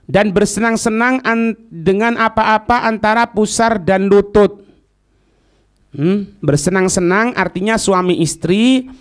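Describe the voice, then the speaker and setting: High-pitched, slow-paced Javanese male religious teacher. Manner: didactic, repeats phrases, asks checking questions. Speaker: Islamic teacher. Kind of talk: religious lesson to students.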